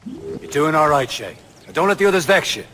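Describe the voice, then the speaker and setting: An actor playing a loyal, supportive first mate, friendly in manner, in a video game. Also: male voice, a little high, lively intonation, fairly quick, fairly bright tone, a little hiss or hum. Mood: encouraging. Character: loyal, encouraging male first mate and comrade. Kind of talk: video game cutscene acting.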